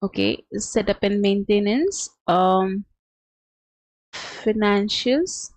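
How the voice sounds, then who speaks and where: A woman presenting a live training webinar, explaining step by step in a halting, instructional way. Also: medium pitch, no room sound. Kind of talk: live instructional screen-share walkthrough.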